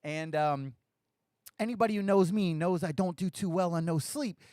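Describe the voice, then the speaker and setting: A man's voice: high voice, normal speed, a little mellow, no echo, studio quality. A man hosting a recovery podcast on a live stream, talking casually and rambling a little, his voice slightly scratchy from lack of sleep. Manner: rambling, chatty, self-deprecating jokes. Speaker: podcast host.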